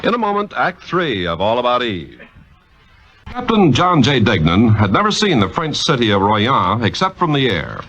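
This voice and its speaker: A man's voice: medium pitch, huge pitch swings, normal speed, a bit bright. A man announcing in formal, emphatic 1950s radio style from a script. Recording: muffled old radio recording.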